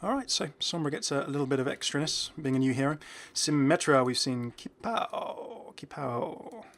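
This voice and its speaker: A man's voice: medium pitch, lively intonation, normal speed, fairly bright tone. A man hosting a gaming video, giving casual commentary and musing aloud in a chatty way. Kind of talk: casual game update commentary.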